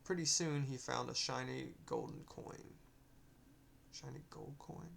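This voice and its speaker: Male author-narrator, heavy vocal fry, halting read with self-corrections, faint background noise. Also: mid-range voice, steady tone, fairly bright tone. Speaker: author reading own story. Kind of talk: read-aloud narration with off-script proofreading asides.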